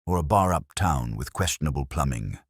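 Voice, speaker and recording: A man's voice: rumbling low, animated, moderate pace, breathy edge, no room sound, clean studio sound, full character voice. A man voicing a streetwise, wisecracking detective's assistant in a mystery story, wry and flippant.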